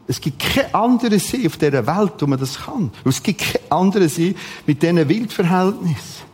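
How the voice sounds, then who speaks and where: Husky Swiss German man preaching, fairly high voice. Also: lively intonation, a little fast, darkish tone. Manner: dramatic, emphatic delivery with repeated short phrases. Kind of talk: sermon.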